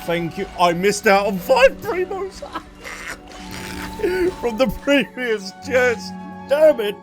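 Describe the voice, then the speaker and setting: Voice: mid-range voice, sing-song, very slow. A gaming streamer giving casual commentary while playing. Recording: game music under the voice. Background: game music.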